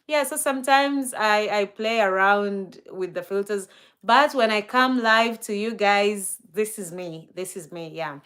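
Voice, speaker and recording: A woman's voice: medium pitch, measured pace, a bit bright, smooth. A woman from Kenya with a Kenyan accent, chatting on a live stream, reading out viewer comments, with many fillers and easy laughs; Swahili and Luo are her first languages. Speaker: livestreamer.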